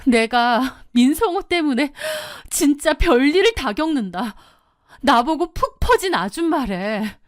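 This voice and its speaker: A woman's voice: a little high, big pitch swings, measured pace, no room sound, clean studio sound. A woman playing a hot-tempered betrayed wife in an audio drama, blunt, incredulous and indignant. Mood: bitter disbelief.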